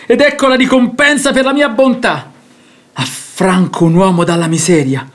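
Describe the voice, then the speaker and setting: Radio drama, male actor as duped middle-aged father, agitated and declamatory, voice pitched very high for a man. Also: steady tone, normal speed, close to an everyday voice. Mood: indignant, bitter. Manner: declamatory, aggrieved. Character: agitated, gullible father and master of the house, betrayed. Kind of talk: theatrical radio drama acting.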